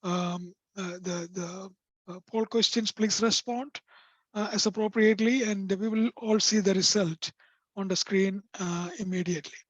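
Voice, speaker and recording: A man with a non-native English accent and a high-pitched, soft voice, presenting in a webinar and pausing often with fillers. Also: steady tone, no echo. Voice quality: high-pitched, sometimes hard to hear. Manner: hesitant, many fillers. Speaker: vocational rehabilitation presenter. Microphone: video call. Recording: dull dry video call audio.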